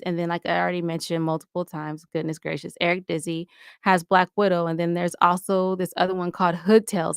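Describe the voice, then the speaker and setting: An American woman talks casually as an interview guest. Her voice is a touch low and recorded very dry. She is colloquial and emphatic in how she makes her points. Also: creaky, clean tone, dry recording. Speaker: podcast guest, audio drama producer.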